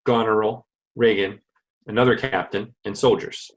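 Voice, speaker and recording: A man's voice: lowish voice, steady tone, moderate pace, poor audio. A man reading out stage directions as the scripted narrator of a play.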